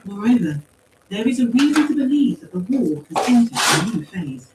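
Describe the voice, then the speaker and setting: A woman voicing a calm, formal machine intelligence in an audiobook story, with precise, measured phrasing. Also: on the high side, voice pushed up. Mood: coolly reasoning. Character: calm, coolly logical machine mind speaking through empty suits. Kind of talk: acted audiobook dialogue. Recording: roomy, distant pickup with faint noise.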